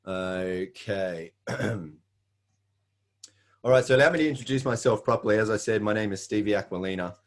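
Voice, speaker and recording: A man's voice: fairly low pitch, moderate pace. A middle-aged Australian man giving an earnest, scripted sales presentation, with frequent "uh" fillers.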